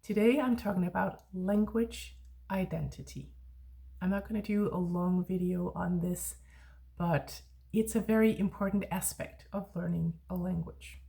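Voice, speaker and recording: A Danish woman who tutors Danish, talking casually to learners, chatty and reflective, often pausing to search for words. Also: on the low side, gravelly, mellow. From Denmark. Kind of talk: casual online lesson talk.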